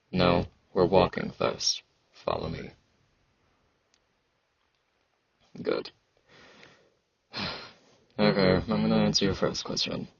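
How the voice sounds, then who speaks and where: A man playing a blunt, awkward fantasy knight and warrior in an intimate ASMR roleplay, halting and dryly dismissive, with a low, gravelly, husky voice and sibilant S sounds. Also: dry recording, lo-fi sound, heavily acted. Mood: guarded, curt.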